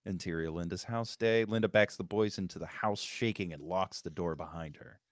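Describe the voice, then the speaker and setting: A man with a low voice reads scene directions as scripted narration for a table read. The sound is dry and without room echo. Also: moderate pace. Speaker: stage-direction reader.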